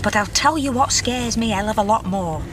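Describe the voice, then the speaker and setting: A woman's voice: a little high, expressive, brisk, background noise, close to an everyday voice. A woman playing a determined middle-aged miner's wife with a Northern English accent in a radio drama, earnestly rallying her friends. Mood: earnest, urging.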